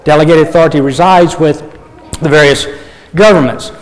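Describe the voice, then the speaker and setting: Throat-clearing preacher in an echoing hall. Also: male voice, on the high side. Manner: measured, with frequent throat clearing. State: losing his voice. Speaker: pastor. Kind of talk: sermon.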